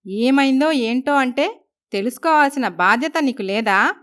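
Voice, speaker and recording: A woman's voice: sits high, animated, moderate pace, a bit bright. A woman voicing an arrogant, commanding young businesswoman who scolds people in a moral story. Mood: demanding. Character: arrogant, bossy young businesswoman who bullies her husband. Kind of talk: dramatised moral story voice acting.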